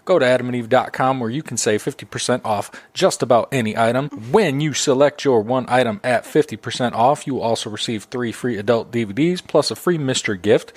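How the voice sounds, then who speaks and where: A man's voice: mid-range voice, a little fast, hardly any pauses. Crude, foul-mouthed man hosting a podcast. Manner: crude, swearing, animated storytelling. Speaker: podcast host. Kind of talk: profane casual comedy banter.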